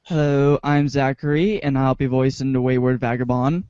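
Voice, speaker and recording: A man's voice: mid-range voice, flat delivery, normal speed, muffled recording, noisy background. A man chatting casually as a member of a reading cast. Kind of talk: casual banter.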